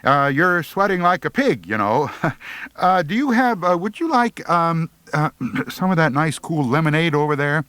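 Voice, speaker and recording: A man acts out an awkward teenage boy in a comic audiobook. The voice sits high and jumps around. He stammers and halts, nervously putting on bravado. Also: big pitch swings, fairly bright tone, clean tone, no echo. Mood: flustered. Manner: halting, stammering.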